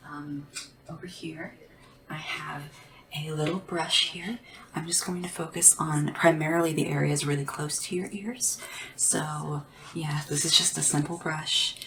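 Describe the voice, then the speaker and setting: A woman speaking softly and whispering in an ASMR roleplay as a polite, hesitant, deferential sleep assistant to royalty. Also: sits low, far from the mic, background noise. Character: nervous, devoted royal sleep assistant to a dragon ruler.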